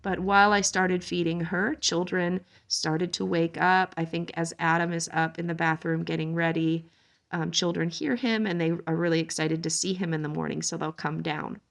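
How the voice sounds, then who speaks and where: A woman in her thirties gently narrating a homesteading family's day as a conversational vlog voice-over, with frequent "um" fillers. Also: on the low side, fairly even intonation, normal speed, vocal fry. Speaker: homesteading vlogger and mother. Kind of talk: relaxed voice-over narration of a daily-life vlog.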